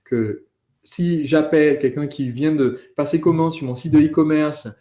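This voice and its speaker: Mellow-voiced man teaching conversationally. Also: on the high side, moderate pace. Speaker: host of a business training course. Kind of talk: conversational teaching, interviewing a colleague.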